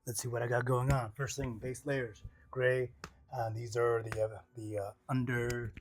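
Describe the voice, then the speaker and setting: A man's voice: mid-range voice, flat delivery, moderate pace, slight background noise. A man talking casually and jokingly to camera indoors while he gets dressed, in short slangy bursts full of catchphrases and exclamations. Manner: playful, peppers talk with exclamations and catchphrases; slangy, jokey self-narration in short bursts. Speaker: vlogger demonstrating his cycling rain gear. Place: indoors at home.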